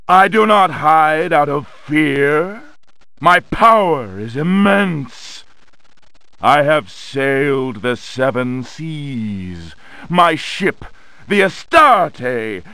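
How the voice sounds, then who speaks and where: A centuries-old undead pirate sorcerer gloats in a dramatic video game monologue. His delivery is extremely slow and theatrical, with big swings in pitch. The sound is close and dry, full of boastful menace. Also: bright, forceful tone, clear, resonant tone, no echo, exaggerated voice.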